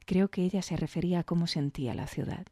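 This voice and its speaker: A woman hosting a podcast, speaking intimately and deliberately in a reflective scripted monologue to the listener. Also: fairly low pitch, fairly even intonation, dark tone, dry recording, clean studio sound. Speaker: podcast host.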